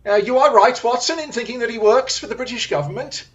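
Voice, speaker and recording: A woman's voice: a little high, fast talker, lo-fi sound, close to an everyday voice. A woman playing a restless, haughty male detective in an audio drama, with a theatrical, disdainful, declamatory way of speaking. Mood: amused, incisive. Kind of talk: radio-style audio drama acting.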